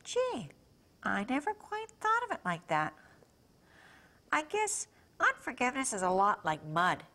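A woman's voice: a little high, wildly swooping pitch, a little slow, pushed and bright, heavily acted. A woman voicing a curious, eager bookworm with a wide-eyed manner. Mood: thoughtful.

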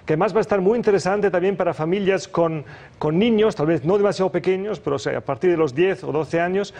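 Man, gravelly measured speech. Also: high voice, darkish tone. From Germany. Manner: measured, hesitant with frequent "em" fillers. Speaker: ambassador guest. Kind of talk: TV morning show interview. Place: TV studio.

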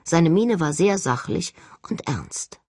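A woman reading a first-person novel as an expressive, scripted narration. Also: lowish voice, slow pace, no echo, clean studio sound. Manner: expressive, acts out dialogue. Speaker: audiobook narrator.

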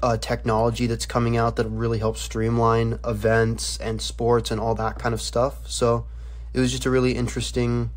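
A young adult man speaks as a student reporter on a podcast. His pitch is average and moves very little, giving a level sound to his informal, loosely worded explanations. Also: completely flat delivery, normal speed.

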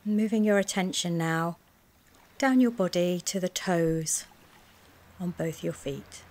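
A woman wellness coach leads a guided mindfulness body scan in a gentle, soothing, measured way, speaking in short phrases with pauses. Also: lowish voice. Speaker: wellness coach guiding a relaxation session.

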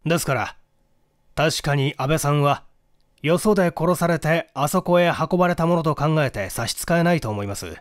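A man voicing a courteous, methodical police detective in a mystery audiobook, speaking politely in measured explanations. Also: a little high, no echo, studio quality, close to an everyday voice. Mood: serious, explanatory. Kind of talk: dramatic audiobook reading of a mystery.

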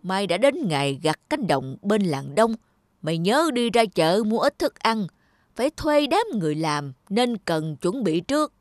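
A woman voicing a greedy, stingy old male landlord in a cartoon, gruff and bossy. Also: medium pitch, very animated, a little slow. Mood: scolding. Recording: studio voice with loud music.

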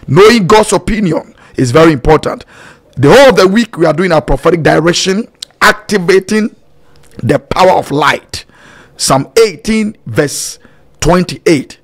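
High-pitched fervent male preacher. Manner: fervent, declamatory, repeats phrases in short bursts. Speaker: preacher and prayer host. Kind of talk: sermon and led prayer. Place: small dark studio, indoors. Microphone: close boom studio microphone with headphones.

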